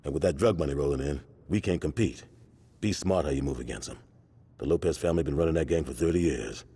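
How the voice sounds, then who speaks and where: A man's voice: rumbling low, fast, warm tone, slightly rough, full character voice. An actor playing a stern, calculating street gang leader, commanding his crew in slang in a video game cutscene. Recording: long hall reverb.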